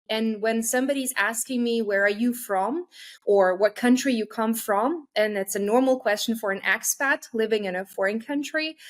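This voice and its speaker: A woman from Russia, a guest expert, giving measured and articulate interview answers. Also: a little high. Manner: measured, articulate, carefully chosen words.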